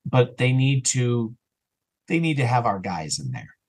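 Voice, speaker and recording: A man chatting casually and enthusiastically as an interview guest, rambling and animated, sometimes acting out bits of dialogue. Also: medium pitch, a little slow, husky. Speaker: interview guest, audio drama writer and actor.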